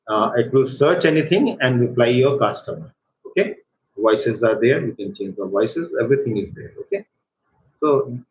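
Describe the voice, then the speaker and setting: A man with an Indian accent gives an informal live software tutorial as an online instructor, speaking in a halting, hesitant way. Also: medium pitch. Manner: halting, hesitant delivery with repeated check words. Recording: roomy echo, dull lo-fi microphone, faint noise.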